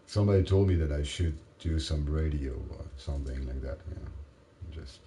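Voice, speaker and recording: A man, tired after a late night, rambling haltingly to the camera in a self-mocking way. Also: dark tone, slight background noise. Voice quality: deep voice. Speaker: vlogger. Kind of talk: casual rambling monologue.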